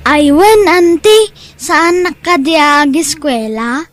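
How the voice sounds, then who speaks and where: A woman's voice: high-pitched, slow, dry recording. A woman with a Filipino accent voicing a weary, homesick young schoolboy in an audio drama, in a plaintive manner. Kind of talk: Ilocano audio drama acting.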